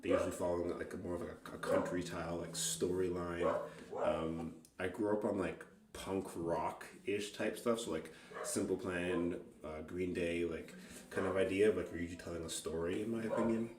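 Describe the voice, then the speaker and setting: Low-voiced man rambling in a roomy space. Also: fairly even intonation, moderate pace, over a noisy crowd. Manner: rambling, hesitant, self-deprecating. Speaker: amateur singer-songwriter. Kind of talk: casual unscripted music vlog. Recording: roomy, distant mic, faint guitar.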